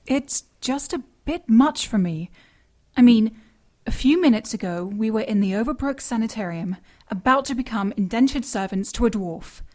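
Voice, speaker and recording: A woman's voice: a little high, expressive, normal speed, warm tone, lightly acted. A woman playing an earnest, spirited sidekick in an audio drama, questioning and easily flustered or indignant. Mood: overwhelmed.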